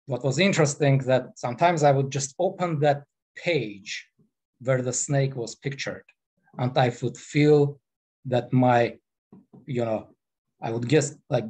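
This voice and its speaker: A man in his forties with an Armenian accent talks through an online presentation in a very slow, halting way. His pitch sits in the middle range, and his speech is broken up by frequent fillers. The sound comes close and direct from a headset microphone in a small indoor room. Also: darkish tone. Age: 40s. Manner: unhurried, halting, many fillers. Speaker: guest presenter.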